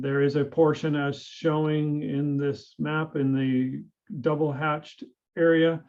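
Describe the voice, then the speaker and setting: A public works director, a man with a slightly raised pitch, walks a city council through a report in a measured way. He pauses often and fills gaps with "uh" and "um". The recording is a bit dull and lo-fi. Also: darkish tone. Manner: measured, hesitant with frequent fillers. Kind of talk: presenting a staff report at a council meeting.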